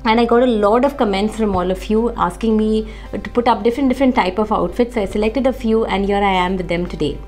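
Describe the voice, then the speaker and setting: A woman, hoarse from a cold, chatting to camera in a casual fashion vlog with many fillers. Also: mid-range voice, quick pace, a little mellow, soft background music. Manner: chatty, friendly, with frequent uh fillers. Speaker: fashion vlogger. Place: indoor room, seated facing camera.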